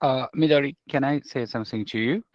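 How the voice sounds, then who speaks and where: A man's voice: mid-range voice, brisk, no echo. A man from Japan with a Japanese accent, chatting casually in hesitant English as he searches for words. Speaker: event participant commenting to a presenter. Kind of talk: casual, friendly chat. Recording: dull lo-fi call audio.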